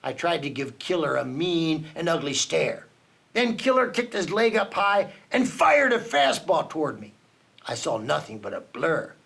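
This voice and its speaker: A man reading a children's picture book aloud for a reading program, telling the story with dramatic pauses. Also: a little high, sing-song, vocal fry, a bit bright.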